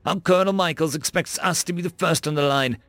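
A man voicing a confident female Marine sergeant who gives brisk, firm orders in an audiobook story. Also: on the high side, fairly bright tone, no echo, voice pushed up, close to an everyday voice. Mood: resolute. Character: commanding, confident female Marine sergeant briefing her squad.

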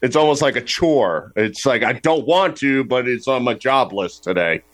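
A man's voice: medium pitch, moderate pace, fairly bright tone. Radio cohost guy, mid voice, dry little jokes. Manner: wry and joking. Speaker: radio show co-host. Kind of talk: casual radio interview.

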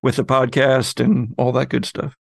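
A man's voice: mid-range voice, little pitch movement, normal speed, vocal fry, dry recording, studio quality. A man hosting a podcast in a casual, conversational discussion style. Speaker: podcast host.

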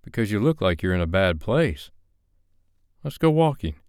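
A man voicing a calm, patient young man who speaks in a soft, coaxing way. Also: fairly low pitch, normal speed, clean tone, no echo, clean studio sound.

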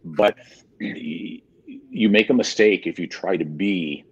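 A man's voice: sits low, animated, takes it slowly, husky. A man from mid-Michigan with a Michigan accent touched by Southern speech, a guest in a casual online interview who rambles and repeats his words. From mid-Michigan, USA. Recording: muffled lo-fi video call audio.

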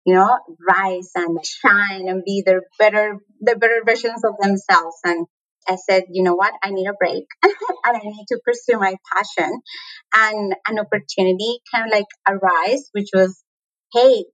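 A woman's voice: medium pitch, normal speed, a bit bright, low-quality recording. Colombian woman, reflective with laughs. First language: Spanish. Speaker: guest. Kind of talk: conversational interview.

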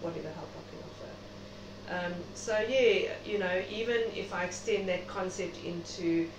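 South African woman, lowish voice, echoey recording. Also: unhurried. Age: middle-aged. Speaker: interview guest, doctor. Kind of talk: reflective interview conversation. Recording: noisy, echoey room, distant mic.

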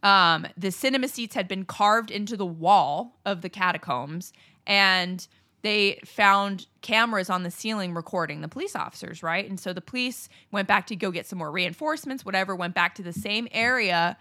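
A woman's voice: medium pitch, moderate pace, no echo, clean studio sound. A young woman co-hosting a podcast, casually telling a creepy story with jokey, profane asides. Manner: animated, swearing, jokey asides. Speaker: podcast co-host.

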